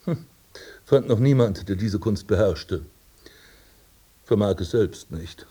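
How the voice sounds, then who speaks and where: An actor playing a haughty, vain aristocratic prince in a radio play, speaking in a pompous, formal manner. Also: male voice, on the low side, lively intonation, slow pace, mellow, breathy edge, exaggerated voice. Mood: conceited. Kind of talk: German radio play acting.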